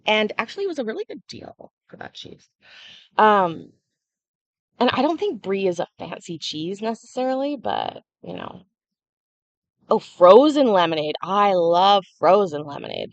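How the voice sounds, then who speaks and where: A woman's voice: medium pitch, dry recording. A young woman hosting a casual livestream, chatting freely and answering viewers' comments as they come in. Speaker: livestream host.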